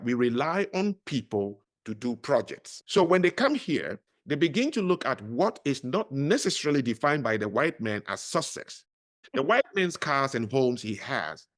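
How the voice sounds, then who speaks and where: A middle-aged man talks as a podcast guest. His delivery is lively and swings widely in pitch. He leans on words for emphasis, the way a storyteller does. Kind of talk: conversational interview.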